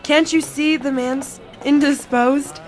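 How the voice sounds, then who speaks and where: A woman's voice: high-pitched, steady tone, takes it slowly, soft background music, poor audio. A woman playing a surly, suspicious bartender and henchman in a detective radio drama, blunt and slangy. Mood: menacing. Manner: slangy, blunt, folksy tough talk.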